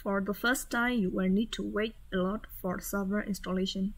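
A woman talking through a step-by-step software tutorial over a screen recording, in a plain instructional manner. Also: medium pitch, steady tone, normal speed.

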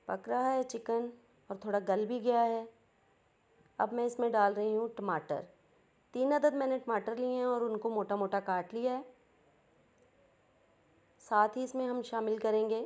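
A woman's voice: a little high, steady tone, a little slow, pauses often, darkish tone. A woman with a Pakistani Urdu accent, hosting a cooking channel and patiently explaining each step of a home recipe.

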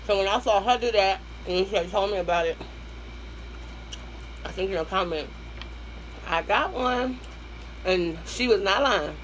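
A hoarse woman in her thirties with a Southern US accent, hosting an eating show from a small room at home, chatting to her viewers and humming between bites. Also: mid-range voice, measured pace, pauses often, a little hiss or hum, poor audio. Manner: chatty, addresses viewers as y'all, hums while eating. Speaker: mukbang host. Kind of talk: casual eating-show chat.

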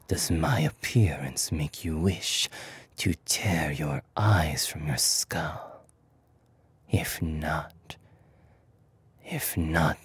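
A man performs a possessive demon lord in a whispered ASMR roleplay. The voice is low and very breathy, drawn out slowly, haughty and seductive with an edge of menace. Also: a bit bright, no echo, exaggerated voice. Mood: commanding, menacing. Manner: slow, deliberate, haughty.

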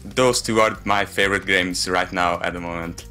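Bright-voiced, lowish man. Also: steady tone, normal speed, faint music behind. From Hungary. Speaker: gamer and YouTuber guest. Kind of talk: casual interview chat.

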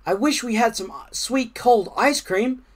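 A storybook reader voicing a gentle, friendly male frog in an expressive, kindly manner. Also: mid-range voice, a little slow, pitched above the performer's own voice, close to an everyday voice. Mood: wistful.

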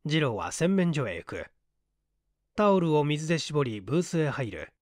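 A man's voice: a little high, big pitch swings, moderate pace, a little mellow, no echo, clean studio sound. A man narrating a literary mystery story as a dramatised audiobook reading. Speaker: audiobook reader, small-theatre stage actor.

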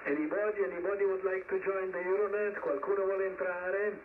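A man running an amateur radio net over shortwave, speaking in short, encouraging phrases with pauses. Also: sits high, little pitch movement, far from the mic. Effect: shortwave radio transmission. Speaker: net controller of an amateur radio round table. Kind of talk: ham radio net hosting. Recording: narrow, noisy shortwave radio reception. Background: radio static.

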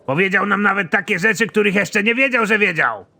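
Male actor as exasperated cartoon general, middle-aged, sharp forceful tone, somewhat high, sardonic and crude. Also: expressive, a little fast, vocal fry, full character voice. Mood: exasperated, insistent. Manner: blunt, sardonic, swearing. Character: foul-mouthed, exasperated army general, middle-aged man, absurdly pompous. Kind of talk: animated comedy series dialogue.

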